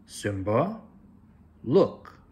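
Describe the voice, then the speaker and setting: A man's voice: on the low side, sing-song, extremely slow, darkish tone, full character voice. A man voicing a solemn, protective lion king father in a storybook, grave and instructive. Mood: proud.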